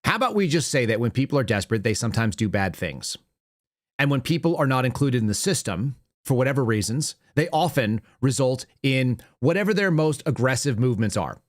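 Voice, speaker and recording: A man's voice: mid-range voice, sing-song, brisk, dry recording, studio quality. An American man giving opinionated, unscripted political commentary as a talk show host, blunt, conversational and emphatic.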